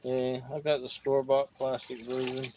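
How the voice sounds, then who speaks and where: A man in his fifties, hosting a prospecting livestream outdoors and chatting in a relaxed, rambling way. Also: mid-range voice, moderate pace, slight background noise. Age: 50s. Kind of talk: casual outdoor chat. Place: outdoors by a creek.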